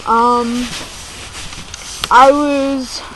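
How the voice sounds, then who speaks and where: Slow, hesitant young American woman. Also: on the high side, fairly even intonation, a bit bright. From United States, born in New Jersey, moved to Virginia. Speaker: video blogger. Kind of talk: confessional casual vlog talk. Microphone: laptop microphone. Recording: noisy amateur laptop recording.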